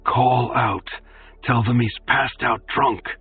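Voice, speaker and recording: A man voicing a cool-headed male swordsman in a storybook reading, speaking calmly and with quiet menace. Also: mid-range voice, slow, husky, no room sound, far from the mic, voice pushed up. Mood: quietly menacing. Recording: tinny phone-like audio, faint music.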